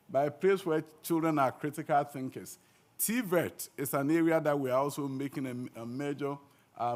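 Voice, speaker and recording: A man, an education minister, giving a conference speech, earnest and emphatic, with some fillers and restarts. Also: on the high side. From Ghana. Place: conference hall.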